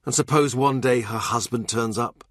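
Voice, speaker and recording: A man's voice: medium pitch, moderate pace, fairly bright tone, dry recording, clean studio sound, close to an everyday voice. A man voicing a shrewd middle-aged royal minister, blunt and wry, in audiobook dialogue. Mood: exasperated.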